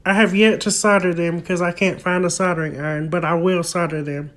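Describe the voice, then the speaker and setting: A man's voice: high-pitched, fairly even intonation, fairly quick, background noise. Young man explaining a repair. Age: young adult. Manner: explanatory, step by step. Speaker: hobbyist vlogger. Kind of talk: casual hands-on repair demonstration. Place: indoor room at home, at a wooden table. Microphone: handheld phone close by.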